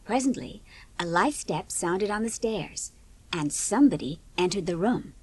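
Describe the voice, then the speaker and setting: A woman's voice: mid-range voice, animated, a little slow, slight background noise, poor audio. A woman reading scripted storybook narration as the narrator of a dramatised audiobook.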